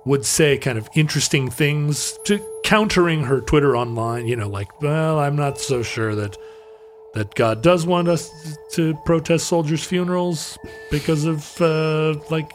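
A middle-aged man, co-hosting a podcast and telling stories casually in a halting, wry way. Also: fairly high pitch, soft background music. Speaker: podcast co-host. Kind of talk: casual conversational storytelling.

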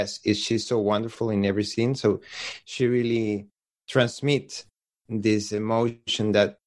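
Hesitant Venezuelan man, Spanish accent. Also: on the low side. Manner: hesitant, searching for words with many restarts. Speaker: film composer. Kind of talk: podcast interview.

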